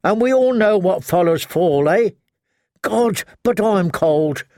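A man voicing a gloomy, mournful soldier with a dry, drawn-out way of talking. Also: sits high, animated, a little mellow, no room sound, voice pushed up, heavily acted. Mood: glum. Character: gloomy, mournful man of the watch, middle-aged.

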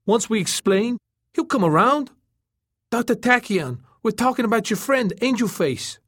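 A man voicing an earnest, insistent male superhero in an audiobook, loud and eager. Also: very high-pitched, lively intonation, moderate pace, husky, dry recording, voice pushed up, exaggerated voice. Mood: pleading. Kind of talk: audiobook character acting.

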